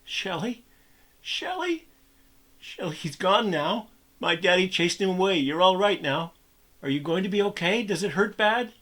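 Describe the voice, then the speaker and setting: Man doing a little boy voice, higher, teary and scared. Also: very animated, normal speed, fairly bright tone. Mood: tearful. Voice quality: high, tearful. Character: frightened young boy, tender-hearted.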